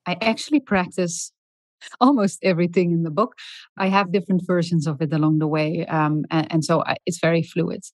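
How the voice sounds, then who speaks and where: A middle-aged woman speaking in a calm, soft, deliberate way as a podcast guest, with many small pauses and ums. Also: lowish voice, dry recording. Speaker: guest expert on leadership. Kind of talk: podcast interview.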